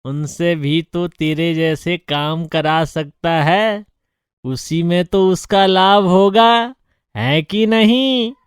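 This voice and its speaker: A man voicing a shrewd elder-brother ghost in a cartoon story, chiding in an incredulous, drawn-out manner. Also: sits high, fairly even intonation, clear, resonant tone, dry recording, studio quality. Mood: scolding. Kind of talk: Hindi cartoon story acting.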